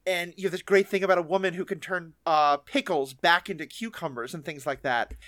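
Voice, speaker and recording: A man with a high-pitched voice hosts a podcast interview. He talks in a chatty, enthusiastic way, conversational and informal. The sound is dry, with very little room echo. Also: a little fast, a bit bright. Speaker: podcast host. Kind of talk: conversational interview about fiction.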